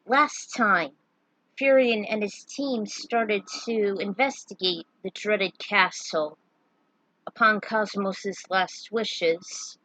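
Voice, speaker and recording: A woman narrating a story read-aloud in a deliberate way, with dramatic pauses. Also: mid-range voice, fairly bright tone, dry recording, rough recording. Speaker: narrator reading own fan fiction.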